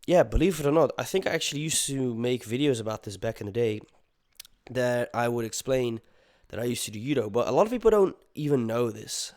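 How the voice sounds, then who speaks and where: A man's voice: mid-range voice, expressive, fairly quick, darkish tone, dry recording, clean studio sound. A man in his twenties from the Netherlands, talking casually and at length to his viewers, slangy and swearing now and then. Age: 20s. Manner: rambling, slangy, swears, talks straight to the audience. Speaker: podcast host. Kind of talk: casual solo monologue to viewers.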